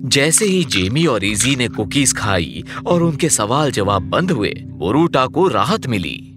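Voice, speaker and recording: A man's voice: mid-range voice, sing-song, unhurried, over music. A man giving scripted narration for a children's fairy tale.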